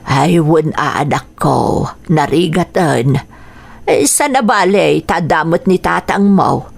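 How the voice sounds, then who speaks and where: A woman's voice: low-pitched, lively intonation, slow pace, gravelly, full character voice. An actress with an Ilocano accent playing a kindly older mother and grandmother in a radio drama, affectionate and homely. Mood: warm, obliging.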